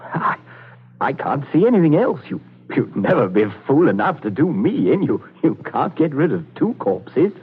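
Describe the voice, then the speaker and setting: A stammering, frightened gentleman. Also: fairly high pitch, big pitch swings, moderate pace, slightly rough. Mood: nervous. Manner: stammering, nervous, pleading. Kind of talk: 1940s radio acting. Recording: tinny old radio broadcast.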